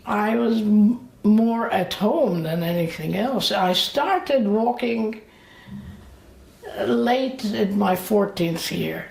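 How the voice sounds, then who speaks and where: A woman in her late eighties being interviewed about her memories. She talks haltingly, with many fillers and pauses. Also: mid-range voice, vocal fry, a little mellow. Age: late 80s. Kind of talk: slow, reflective memories. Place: indoors. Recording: roomy echo.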